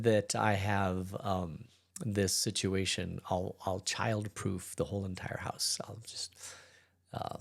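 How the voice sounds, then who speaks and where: A middle-aged pastor with a hoarse, congested voice, leading a relaxed, rambling Bible study. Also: male voice, fairly low pitch. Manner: relaxed, rambling, chuckles between thoughts. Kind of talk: casual daily Bible study talk.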